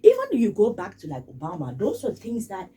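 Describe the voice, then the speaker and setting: A woman's voice: mid-range voice, wildly swooping pitch, fairly quick, mellow, breathy edge, recorded from a distance. A woman from Cameroon, giving casual spoken commentary in an emphatic way and repeating phrases for stress. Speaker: vlogger.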